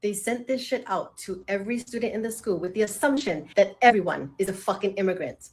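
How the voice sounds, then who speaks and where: An older woman from Hawaii, a grandmother, reading out a school assignment in a flat, list-like way and then venting indignantly. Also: medium pitch, a little fast. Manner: indignant, reads list of questions then vents. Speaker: grandmother in a social media video. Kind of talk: reading aloud and ranting.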